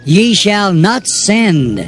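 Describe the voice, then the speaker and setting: A man's voice: sits high, big pitch swings, very slow, faint music behind, pitched above the performer's own voice, heavily acted. A man voicing a devoted young prophet, earnest and pleading, drawing out his words.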